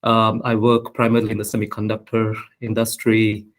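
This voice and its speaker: A man in his thirties from the United States, a guest talking calmly about himself on a podcast with frequent fillers. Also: lowish voice. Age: 30s. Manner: measured, self-introducing with fillers. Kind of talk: casual interview.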